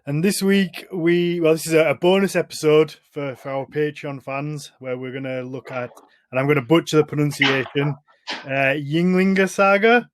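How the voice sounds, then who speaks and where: A man's voice: fairly high pitch, steady tone, normal speed. A man with a British accent hosting a podcast in a casual, informal and self-deprecating way. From Britain. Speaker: podcast host.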